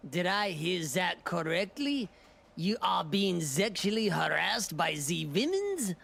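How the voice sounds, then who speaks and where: A man's voice: high voice, big pitch swings, slow, creaky, fairly bright tone, exaggerated voice. A man playing a lecherous German sidekick with a German accent and a snickering, leering manner in a comedic audio drama. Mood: curious.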